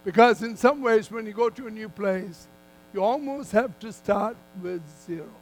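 An older man preaching a sermon as a guest speaker, in emphatic, deliberate phrases that he repeats for weight. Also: high voice, slight background noise, low-quality recording. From Malaysia.

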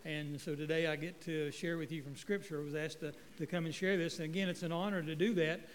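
Middle-aged guy preaching in a church, high voice, jokey and folksy, long echo off the walls. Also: fairly even intonation, quick pace. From North Carolina. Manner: folksy, joking, stumbling restarts. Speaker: guest pastor. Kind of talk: sermon. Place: church sanctuary. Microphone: handheld microphone.

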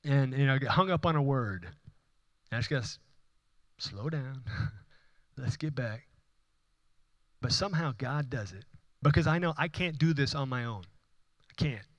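A man's voice: medium pitch, expressive, normal speed, pauses often, no room sound, muffled recording. A man from Houston preaching a sermon as pastor, building emphatic repeated phrases with rising intensity. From Houston, Texas. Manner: impassioned, repetitive preaching with rising emphatic runs. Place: church.

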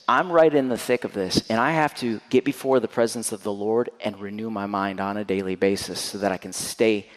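A man giving a candid sermon as guest preacher, swinging between loud emphatic bursts and quiet, intense lows. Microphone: handheld or stand mic. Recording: echoey church hall.

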